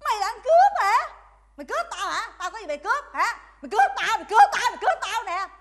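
A woman's voice: very high voice, big pitch swings, fast, a bit bright, heavily acted. A woman playing a brash, foul-tempered gambling mother in a comedy sketch, scolding with repeated phrases in a southern Vietnamese accent. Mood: threatening. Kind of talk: broad sketch comedy acting.

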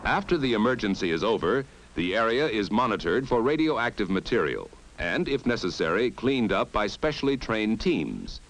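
American guy doing old military training film narration, lowish voice, really varied pitch, authoritative. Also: very animated, moderate pace, few pauses, fairly bright tone, a little hiss or hum, poor audio. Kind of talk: scripted narration, mid-century instructional film.

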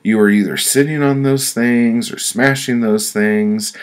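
A man's voice: mid-range voice, fairly even intonation, a little slow, pitched above the performer's own voice, lightly acted. A man voicing an exasperated, scolding wife in an audiobook of comic stories.

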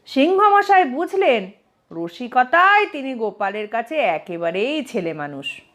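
Female narrator for comic tales, expressive, very lively intonation, higher register. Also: a little slow. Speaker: storyteller, narrator. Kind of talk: scripted comic story narration.

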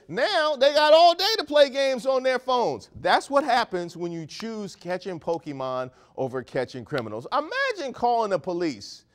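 A man in his thirties hosting a comedic news monologue on a studio set, animated and mock-outraged with jokey asides. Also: sits high. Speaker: host.

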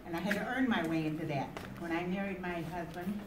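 Older American woman, lowish voice, echoey hall. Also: fairly quick. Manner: forceful, declarative, rambling storytelling. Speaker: veteran community activist speaker. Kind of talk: personal public speech. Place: crowded library meeting room, at lectern. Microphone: camera in the audience, far off. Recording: echoey room, distant mic, background noise.

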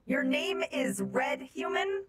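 A woman's voice: sits high, expressive, slow pace, a crowd in the background. A woman voicing a curious, commanding female space robot with stilted, imperious phrasing in an improvised online game roleplay. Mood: commanding, inquisitive.

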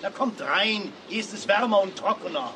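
An actor playing a kindly, easily astonished older shopkeeper in a children's radio play. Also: male voice, sits high, lively intonation, moderate pace, pushed and bright, a little hiss or hum. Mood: inviting.

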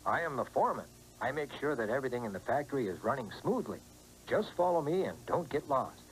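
A man's voice: medium pitch, sing-song, fast, natural voice, low-quality recording. A man voicing a big, bossy but kind factory foreman who leads a tour, with music playing behind. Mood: instructive.

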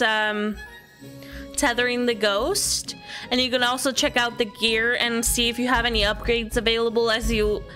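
A woman's voice: on the high side, bright, forceful tone. A woman giving a casual walkthrough commentary of a video game. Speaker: gaming video presenter.

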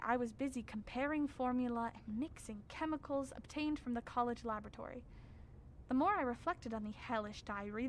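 A grave scholar, played by a woman, recounts horrors in a radio drama. The voice sits fairly high, formal and measured with an ominous weight, over faint background noise. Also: natural voice. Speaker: actor narrating. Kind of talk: radio drama narration as a written record.

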